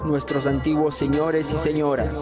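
Male radio presenter, Cusco accent, slightly high voice, poetic scripted read over prominent music. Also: level tone, moderate pace. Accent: Cusco Peruvian. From Cusco, Peru. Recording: loud music under the voice. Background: loud music.

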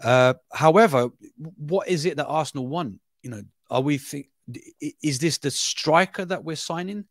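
A man's voice: on the high side, moderate pace, no echo, studio quality. A middle-aged man from Brazil with a London accent, a football journalist chatting as a guest, hesitant with many restarts and fillers. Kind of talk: casual interview chat.

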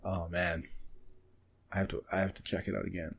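A man's voice: sits low, little pitch movement, brisk, vocal fry, slightly rough. A man co-hosting a casual podcast about anime, speaking hesitantly and often trailing off. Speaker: podcast co-host.

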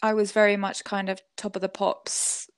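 A woman's voice: mid-range voice, little pitch movement, moderate pace, clean tone, no room sound. Chatty woman, dry close sound. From Southwest London, England. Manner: chatty and reflective, many fillers, laughs often. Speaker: guest musician. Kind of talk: casual interview conversation.

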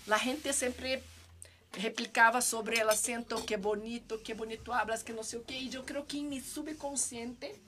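Brazilian lady hosting, high voice, jokey and loud, swears a lot. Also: steady tone, a little slow, a little hiss or hum. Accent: Brazilian Portuguese. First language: Portuguese. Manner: playful, loud, joking, swears casually. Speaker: host. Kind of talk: casual chat.

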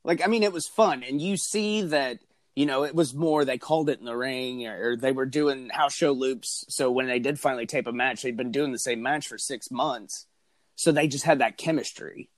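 A man's voice: mid-range voice, moderate pace, no room sound. A man co-hosting a casual podcast chat, talking candidly with dry humor, his voice a bit strained. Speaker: podcast co-host. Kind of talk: casual chat about wrestling.

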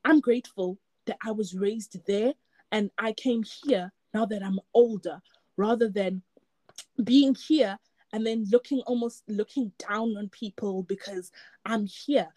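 A woman's voice: medium pitch, measured pace, mellow, dry recording, rough recording. A woman from Africa, reflecting in a casual chat and often repeating words as she searches for them. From South Africa.

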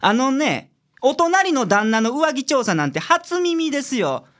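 A woman's voice: high-pitched, very animated, a little slow, a bit bright, full character voice. A woman playing a weary, blunt middle-aged male detective with a Kansai accent in a community radio drama, talking in a dry, exasperated way.

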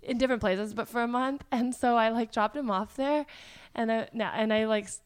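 Young woman hosting a podcast, voice a bit hoarse from travel, lots of ums and likes, laughs a lot. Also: a little high, a little fast. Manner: chatty, laughs often, many fillers. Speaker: podcast host. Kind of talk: casual podcast chat.

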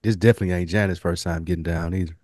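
A man's voice: sits low, steady tone, rapid-fire, darkish tone, dry recording. Blunt, slangy man, close dry sound. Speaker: podcast co-host. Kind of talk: casual podcast chat.

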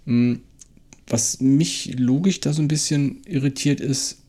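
A man's voice: mid-range voice, unhurried, warm tone. A man co-hosting a podcast, casually analysing a story while feeling for his words. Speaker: podcast co-host. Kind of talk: casual discussion and analysis of an audio drama plot.

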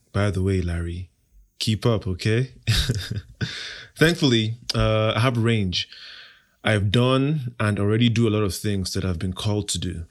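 Confident, playful Nigerian man, low voice. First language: Igbo. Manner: confident, playful, self-assured. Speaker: podcast host, interview guest. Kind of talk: casual interview answers.

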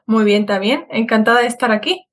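A woman's voice: a little high, fairly quick. A woman from southern Spain with a Spanish accent from Spain, a guest explaining things conversationally and correcting herself as she goes. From Seville, Spain, born in Madrid. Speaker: podcast guest, online Spanish teacher. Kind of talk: casual explanatory interview chat.

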